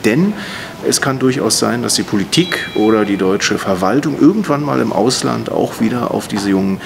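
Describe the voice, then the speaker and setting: A man in his fifties giving a statement to camera as a member of parliament, phrasing it in a measured, hesitant way. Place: indoors, in front of banner wall. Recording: clear background noise, low rumble.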